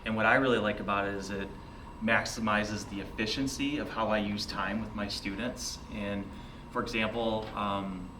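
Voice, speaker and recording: A male instructor casually introducing himself and talking to a group. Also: fairly low pitch. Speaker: biosciences instructor. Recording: echoey noisy room, distant mic.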